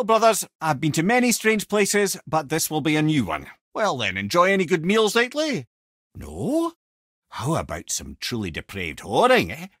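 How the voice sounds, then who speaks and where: A man's voice: mid-range voice, fairly bright tone, no echo, studio quality. A man with a Scottish accent voicing a wise, wry, talkative old sage in a video game, telling tales eloquently in colourful old-fashioned phrases. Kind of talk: video game character lines, storytelling and banter.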